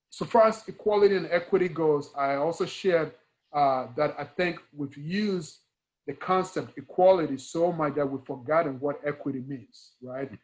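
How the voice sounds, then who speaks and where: Man, Ghanaian accent, slightly high pitch, earnest conversational delivery, emphatic repetition, rhetorical questions. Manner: emphatic, repeats key words and asks rhetorical questions. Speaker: guest speaker, engineering educator. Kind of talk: earnest conversational interview talk.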